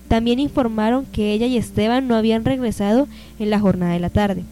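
High, mellow woman narrator. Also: rough recording. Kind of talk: scripted radio drama narration. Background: soft music.

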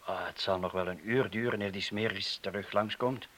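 Low, forceful, dismissive burglar. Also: male voice, steady tone, normal speed. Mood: resigned. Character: dismissive, casual male burglar on watch duty. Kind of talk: radio play acting.

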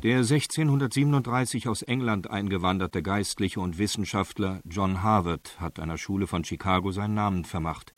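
A man's voice: deep voice, brisk, few pauses, close to an everyday voice. A man narrating a radio crime drama as a factual case report. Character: matter-of-fact male narrator of documentary case report.

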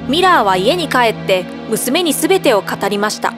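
A woman narrating a bedtime fairy tale in an expressive storytelling voice. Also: fairly high pitch, normal speed. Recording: background music.

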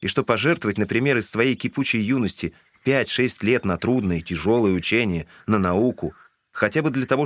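A man with a fairly low voice narrates classic literature for an audio drama. His delivery is scripted storytelling, in the role of narrator.

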